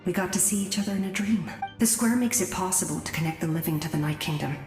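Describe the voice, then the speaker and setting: Woman as a lower-voiced, grave warrior. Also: quick pace, reverberant, over music, natural voice. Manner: earnest, grave. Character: selfless, dutiful warrior mentor woman. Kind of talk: video game voice acting.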